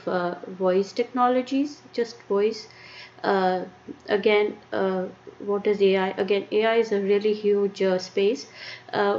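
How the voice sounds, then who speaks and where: A woman with an Indian accent presenting a live-streamed tech lesson, talking haltingly with many fillers and restarts. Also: medium pitch, darkish tone. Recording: muffled lo-fi stream with faint noise.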